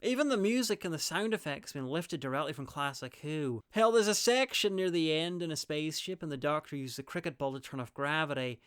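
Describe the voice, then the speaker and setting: A man's voice: on the high side, expressive, brisk, hardly any pauses, a bit bright, smooth, dry recording. A man delivering a scripted review as a video host, with wry, dry asides.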